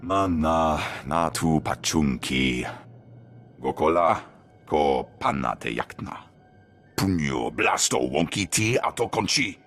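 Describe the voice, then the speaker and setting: A man voicing an alien elder in a video game, speaking an invented tongue in a measured, swooping way. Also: extremely low pitch, expressive, creaky, a bit bright, faint music behind, heavily acted. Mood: grave, instructive.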